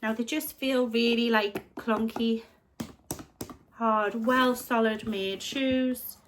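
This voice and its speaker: A British woman talks casually about her thrift finds, her voice sitting a little high for a woman. She is a bit snuffly from a chest infection and rambles, often stopping to correct herself. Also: steady tone, slow, background noise. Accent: British English. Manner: chatty, rambling, self-correcting. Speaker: reselling vlogger. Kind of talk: casual vlog chat.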